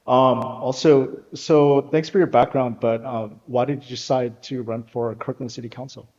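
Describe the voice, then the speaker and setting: Mellow-voiced male interview host. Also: medium pitch, fairly even intonation, moderate pace. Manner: hesitant, many uhs and maybes. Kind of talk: community interview hosting. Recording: dull audio with long hall reverb.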